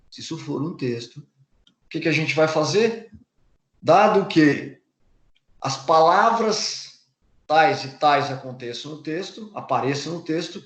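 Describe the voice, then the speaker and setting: A Brazilian man teaching a university class from his slides, his voice sitting a little high for a man. He talks patiently, going back over each point and rephrasing it, often stopping and restarting mid-sentence. The recording carries a noticeable room echo. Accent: Brazilian Portuguese. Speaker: university lecturer. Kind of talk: recorded lecture explaining slides. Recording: echoey room.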